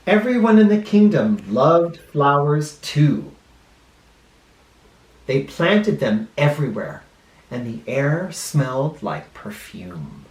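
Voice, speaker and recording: A male teacher reading a picture book aloud to children expressively, pausing dramatically and explaining words in simple terms. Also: on the high side, sing-song, a little mellow. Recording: roomy, echoing room sound.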